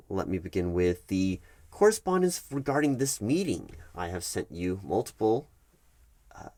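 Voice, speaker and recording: A man acts out a stern, patient male HR manager in an ASMR office roleplay. His voice sits fairly low and is recorded close and dry. He is formal and halting, often doubling back on words to press his point. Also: no echo. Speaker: recruiting HR manager. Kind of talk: one-sided ASMR roleplay of a work meeting.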